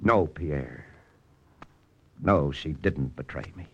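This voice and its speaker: A man's voice: very deep voice, takes it slowly, a little mellow, slightly rough, lo-fi sound, heavily acted. An actor playing a brooding American intelligence lieutenant who narrates his mission tersely in old-time radio drama style. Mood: sober, regretful. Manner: terse, dramatic, hard-boiled delivery. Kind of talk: 1940s-50s radio drama acting. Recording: noisy vintage radio drama recording.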